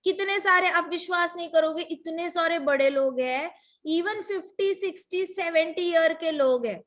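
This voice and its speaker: High-pitched woman, devotional storyteller, emphatic, full of rhetorical questions. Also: fairly bright tone. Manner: mixes English words into Hindi.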